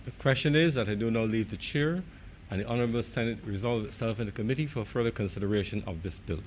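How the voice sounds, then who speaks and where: A man's voice: fairly low pitch, quick pace, a little hiss or hum. A man making formal, formulaic procedural announcements as a presiding officer. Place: legislative chamber.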